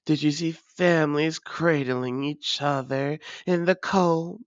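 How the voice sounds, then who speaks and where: A woman playing a proud, menacing vampire ruler in a dramatic audio roleplay, taunting and imperious in a deliberate delivery. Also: low-pitched, no room sound, lo-fi sound. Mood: mocking, threatening.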